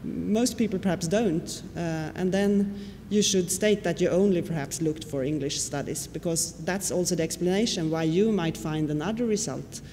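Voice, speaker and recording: A woman whose first language is Swedish, giving a conference lecture and often pausing on fillers. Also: deep voice, mellow. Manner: explanatory, with frequent fillers and questions to the audience. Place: lecture hall. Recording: reverberant hall with faint noise.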